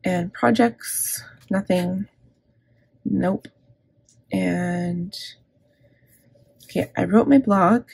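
Gravelly, low-voiced woman chatting casually. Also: extremely slow, long pauses, a little mellow, dry recording. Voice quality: gravelly, scratchy. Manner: rambling, self-correcting, candid. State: scratchy, gravelly throat from inhaler. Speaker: planner vlogger. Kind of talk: casual plan-with-me chat. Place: indoors at a desk.

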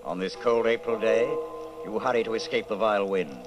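A man narrating in an ominous, deliberate old-time radio drama style. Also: lowish voice, music in the background.

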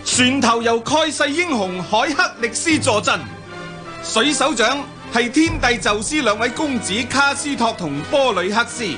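High-voiced young heroic commander. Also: male voice. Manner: declamatory, earnest. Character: earnest young heroic Greek expedition commander. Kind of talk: Cantonese radio drama acting.

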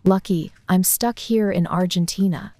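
A woman's voice: fairly low pitch, normal speed, dark tone, no echo, lightly acted. A woman playing a laid-back, friendly teenage girl in an amateur scripted storytime drama. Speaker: voice actor in an animated storytime drama. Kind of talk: scripted amateur roleplay dialogue.

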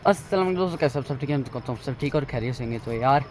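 A teenage male vlogger with a Pakistani accent talks casually to his viewers. His voice sits at an ordinary male pitch. He repeats his phrases as he points things out, and the handheld phone picks up a light wash of ambient noise. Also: lively intonation, rapid-fire, a little mellow. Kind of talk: casual travel vlog narration. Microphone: phone at arm's length. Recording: noisy handheld phone recording, ambient sound.

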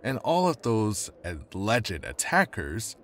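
A man acts a smug male defense attorney in an audio drama. His very deep voice swings freely as he needles with false friendliness. Faint noise sits behind the voice. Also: huge pitch swings, slow, fairly bright tone, exaggerated voice. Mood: smug, needling. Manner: smug, mock-friendly, taunting.

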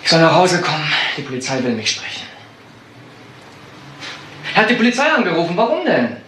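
An actor playing an agitated, reluctant young son in a crime radio play. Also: male voice, on the high side, wildly swooping pitch, brisk, fairly bright tone. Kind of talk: German radio crime drama acting. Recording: echoey room, faint noise.

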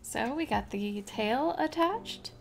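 A woman's voice: sits high, animated, takes it slowly, creaky, soft background music. A young woman hosting a casual crochet tutorial at home, chatty and upbeat, laughing often. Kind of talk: casual step-by-step craft tutorial. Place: home craft room, indoors.

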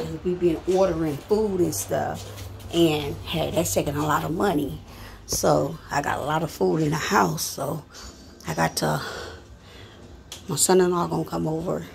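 Middle-aged woman vlogger, deep gravelly voice, chatty and rambling, short of breath, phone mic with faint noise. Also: darkish tone. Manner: rambling, chatty, repeats herself. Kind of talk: casual home cleaning vlog. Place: home kitchen, indoors. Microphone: phone camera, speaking off-screen.

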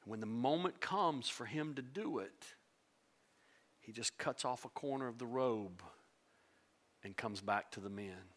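A middle-aged man preaching a sermon from a church stage, animated and swinging between loud emphasis and hushed tones. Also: medium pitch, measured pace, long pauses. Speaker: pastor.

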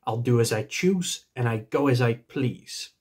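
A man's voice: medium pitch, lively intonation, slow, sharp tone, husky, full character voice. Man voicing an angry, possessive old hobbit. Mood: obstinate, indignant. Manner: defiant, obstinate, indignant.

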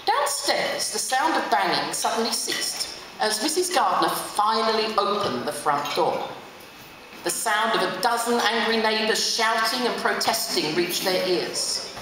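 A middle-aged woman gives an expressive reading from a book at a lectern. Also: mid-range voice, a little slow. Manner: animated, acts out dialogue. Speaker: reader at a memorial event. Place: hotel function room, on stage. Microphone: stand mic at lectern through PA, recorded from audience. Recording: distant, echoey hall with clear noise.

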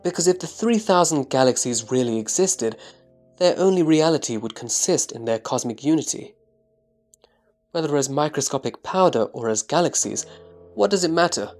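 A man's voice: a little high, expressive, normal speed, darkish tone. A man playing a reverent, thoughtful disciple, explaining carefully and deferentially in a scripture audio drama. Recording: music behind the voice.

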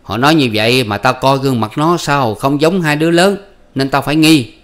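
A man's voice: medium pitch, brisk, a bit bright, voice pushed up, close to an everyday voice. A man voicing an honest, simple peasant farmer who speaks bluntly and plainly. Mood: defensive. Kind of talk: storybook reading.

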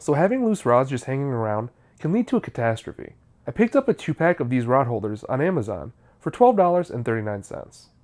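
A man's voice: medium pitch, a little mellow. A man, narrating a how-to video in a scripted, explanatory style.

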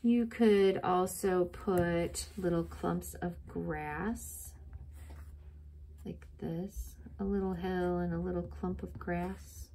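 A woman's voice: fairly low pitch, fairly even intonation, slow pace, mellow, rough recording. A middle-aged woman teaching a step-by-step drawing lesson to children, patient and encouraging, recorded at her desk on the camera mic. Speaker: art teacher.

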